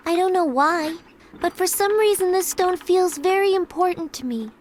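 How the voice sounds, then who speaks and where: A gentle, earnest young woman. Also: extremely high pitch, moderate pace, a little hiss or hum. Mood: wistful. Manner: soft-spoken, sincere, hesitant. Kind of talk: video game cutscene dubbing.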